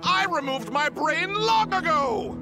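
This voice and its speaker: Slow, high-voiced mad cartoon supervillain. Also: male voice, animated, over music, full character voice. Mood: gloating menace. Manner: theatrical, gloating. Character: mad male supervillain doctor, brainless and gleeful.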